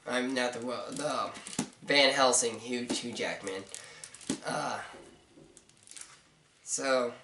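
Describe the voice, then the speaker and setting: A young man with a hoarse, stuffy voice giving a rambling, hesitant book review, full of fillers like "uh" and "so.". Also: medium pitch, takes it slowly, pauses often. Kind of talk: casual video review. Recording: roomy, mic at a distance, faint noise.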